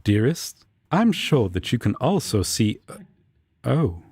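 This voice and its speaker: A man playing an earnest, sentimental angel roommate in an intimate audio roleplay, speaking gently, formally and a little hesitantly. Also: mid-range voice, big pitch swings, slow. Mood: soft, contrite.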